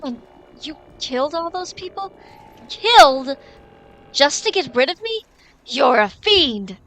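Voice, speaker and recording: A woman's voice: high-pitched, animated, slow pace, fairly bright tone, full character voice, low-quality recording. A woman playing a bold, heroic magician in a pulp audio drama, declaiming with defiance and indignation. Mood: outraged, defiant.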